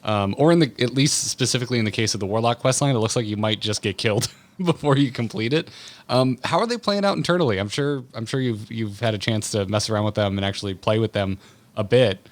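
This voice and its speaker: A man interviewing as a podcast host, conversational, with measured and roundabout questions. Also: fairly low pitch.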